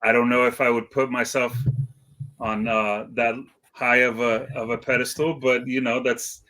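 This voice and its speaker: A man's voice: medium pitch, little pitch movement, moderate pace, pushed and bright, low-quality recording. A middle-aged man from Iceland, a guest DJ in a casual interview, talking in a laid-back, modest way with many fillers and restarts.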